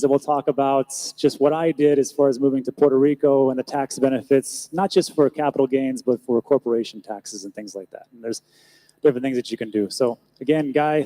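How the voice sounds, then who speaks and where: Mellow American man on a panel. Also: mid-range voice, poor audio. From United States. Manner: chatty, joking asides to the crowd. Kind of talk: live panel talk on stage. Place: event stage before an audience.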